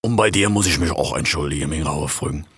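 A man plays a blustery old monkey king with a thick lilt, a very deep, husky yet bright voice that rambles on. His talk is dotted with "hör mal", recorded dry and close. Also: fairly quick, no room sound, full character voice. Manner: dialect-heavy rambling, says "hör mal" often.

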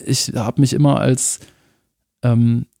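A man in his thirties from Munich talks loosely as a guest, rambling and dropping slang with easy laughs. His voice sits at an ordinary pitch with a dark, mellow tone. The recording is dry and close, with no room around it. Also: slow, vocal fry, no room sound. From Germany (Munich). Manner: relaxed, rambling, laughs often, uses slang. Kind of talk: casual conversational interview.

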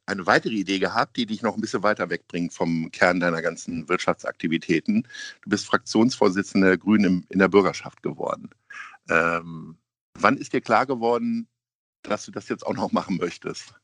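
A man's voice: deep voice, normal speed, fairly bright tone, no room sound. A man hosting a podcast, reading a scripted intro and then asking a guest friendly, informal questions. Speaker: podcast host. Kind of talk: phone interview podcast.

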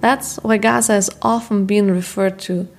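Gravelly-toned woman narrating news. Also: mid-range voice, fairly even intonation, faint music behind. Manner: measured, informative. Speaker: documentary narrator.

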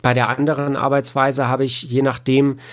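A man's voice: mid-range voice, flat delivery, normal speed. A man with a mid-range voice talks as an audiobook editor in a casual interview. He explains things step by step, hesitating and thinking aloud as he goes.

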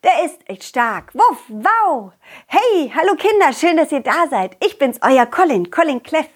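A woman voicing a confident, loyal, cheerful dog who tells stories to children in an excitable, childlike way, as in an audio play. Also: high voice, a little slow. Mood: cheerful, excited. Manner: excitable, childlike, speaking directly to child listeners. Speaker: puppeteer and storyteller.

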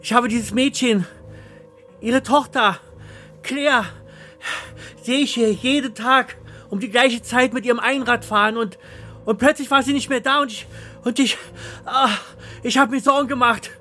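A man's voice: very high-pitched, steady tone, measured pace, long pauses, pushed and bright, soft background music, voice pushed up, full character voice. A man playing a frightened, ordinary accountant in an audio thriller, stammering and repeating himself as he pleads. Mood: panicked. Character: frightened, dull, ordinary male accountant pleading his innocence. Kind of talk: radio thriller acting.

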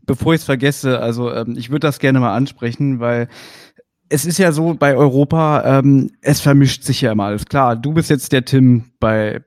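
A man's voice: mid-range voice, normal speed, darkish tone, no room sound, clean studio sound. A man hosting a podcast, asking chatty, detailed fan questions in a casual interview. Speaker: podcast host.

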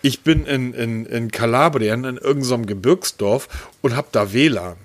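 A man from Hamburg co-hosting a casual tech podcast, telling stories with sarcastic, animated rants. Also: mid-range voice, normal speed. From Hamburg, Germany. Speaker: podcast co-host.

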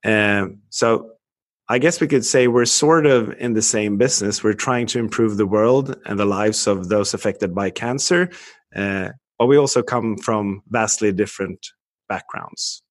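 A man's voice: on the low side, unhurried. A man from Sweden hosting a podcast, reading a scripted intro and then chatting loosely with fillers. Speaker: podcast host. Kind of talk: podcast interview.

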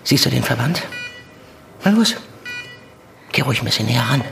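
A man's voice: mid-range voice, sing-song, moderate pace, gravelly, fairly bright tone, full character voice. A man playing a stern, insistent police handler in an audio drama, pressing with short questions and commands in a controlled, probing way. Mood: commanding, grim. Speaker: actor in a fiction podcast. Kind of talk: naturalistic German audio drama.

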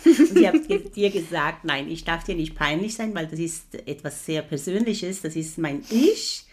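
A middle-aged woman with a lowish voice chats as a podcast guest, her showing. She laughs easily and pauses to hunt for the right words. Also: sing-song. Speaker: podcast guest, host's mother. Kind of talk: casual podcast chat.

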